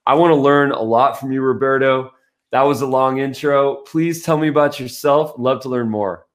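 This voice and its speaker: A man's voice: medium pitch, fairly even intonation, moderate pace. A man hosting a podcast, who reads the show's intro and then eagerly hypes up his guest. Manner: enthusiastic, hyping up the guest. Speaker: podcast host.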